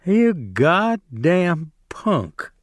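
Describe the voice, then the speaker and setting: A man's voice: on the high side, big pitch swings, extremely slow, no echo, clean studio sound, pitched above the performer's own voice, exaggerated voice. Male reader as a contemptuous ranch tough, taunting. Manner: sneering, contemptuous. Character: rough mocking male ranch hand.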